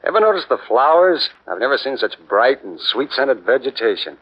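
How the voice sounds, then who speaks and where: Brief: a chatty, curious officer, very lively pitch, dull old radio sound. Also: male voice, normal speed. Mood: casual. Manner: casual, conversational. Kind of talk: 1940s radio acting.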